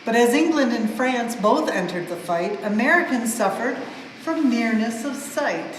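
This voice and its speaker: A woman reads a storybook aloud for children with expressive, measured emphasis on the rhymes. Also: on the high side. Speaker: museum staff member reading a story aloud. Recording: very echoey large hall, some noise.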